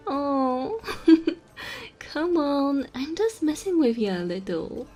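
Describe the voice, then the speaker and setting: A woman's voice: fairly high pitch, a little mellow. A woman playing a small, cheeky goblin bartender in a fantasy audio roleplay, teasing and chatty with the customer. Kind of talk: fantasy audio roleplay, addressing the listener softly.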